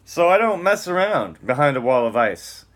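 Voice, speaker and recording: A man's voice: fairly high pitch, sing-song, normal speed, fairly bright tone. A man giving a dramatic, theatrical spoken-word reading, moving between emphatic lines and muttered casual asides.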